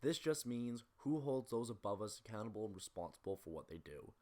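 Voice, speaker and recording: Low voiced guy doing a scripted movie essay, talks quick, pretty opinionated. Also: big pitch swings, background noise. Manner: critical, opinionated. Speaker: video essayist narrator. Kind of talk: scripted film analysis narration.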